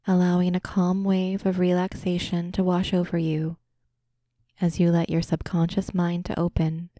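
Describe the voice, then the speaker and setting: A woman leads a guided imagery meditation as a podcast host. Her voice is dark and relaxed, with a very even, flat melody. She speaks gently and soothingly, taking her time, and the sound is dry, with no room around it. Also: fairly low pitch, no echo. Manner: gentle, calming, soothing, unhurried.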